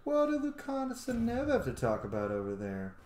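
A man's voice: sits high, wildly swooping pitch, brisk, over music. A chatty, gushing man in his thirties giving casual commentary as he hosts a game playthrough. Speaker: gaming host. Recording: roomy sound with faint noise.

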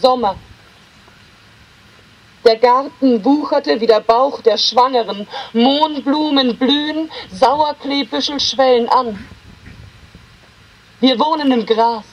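Woman, high-pitched voice, slow delivery, literary poetry reading, deliberate phrasing, pauses between lines. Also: lo-fi sound. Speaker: poetry reader.

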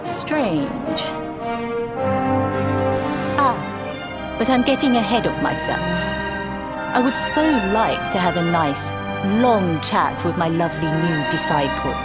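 A woman playing a wry, imperious grand master of a mountain temple in a video game, speaking formally and with measure. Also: medium pitch. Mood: wry, fond. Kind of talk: video game cutscene acting. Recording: loud background music. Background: loud music.

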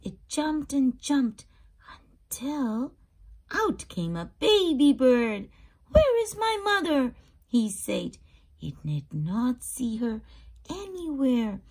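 A woman hosting a read-aloud for young children, narrating expressively and pausing to explain and ask simple questions. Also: fairly high pitch, very animated, darkish tone, slightly rough. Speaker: storybook reader and channel host.

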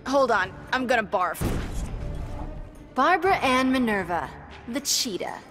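A confident young superheroine from a video game. Her high voice is very lively and full of flippant defiance, with music underneath. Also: a little slow. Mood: defiant, sassy. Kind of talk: video game character acting.

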